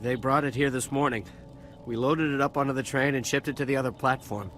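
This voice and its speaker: An actor playing a cowardly, frightened dock worker in a video game, with a stammering, hesitant and defensive way of talking. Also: male voice, mid-range voice, steady tone, fairly quick, fairly bright tone, soft background music, lightly acted. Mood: shaken, defensive. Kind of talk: video game dialogue acting.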